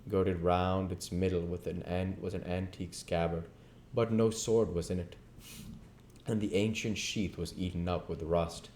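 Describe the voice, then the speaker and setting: A man with a low-pitched voice reads classic fiction aloud. His storybook narration is deliberate and emphatic, phrase by phrase, with an amateur reader's occasional stumble. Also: flat delivery, a little mellow. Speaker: audiobook reader.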